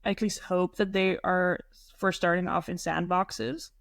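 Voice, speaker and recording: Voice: mid-range voice, steady tone, measured pace, gravelly, fairly bright tone, dry recording, clean studio sound. A young speaker from the Netherlands, a native Dutch speaker, talking casually in English in a thoughtful, questioning way. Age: young adult. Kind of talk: casual conversational discussion.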